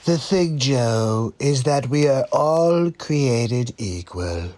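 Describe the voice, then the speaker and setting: A man's voice: mid-range voice, expressive, very slow, darkish tone. A man hosting a live comedy stream, mocking the clips he plays with sarcastic, incredulous asides. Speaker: livestream comedy host. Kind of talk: mocking commentary between clips.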